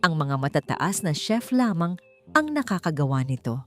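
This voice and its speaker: A woman narrates scripted romantic fiction as a dramatic storyteller. Her voice sits a little low, with faint music playing underneath. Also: very animated, normal speed.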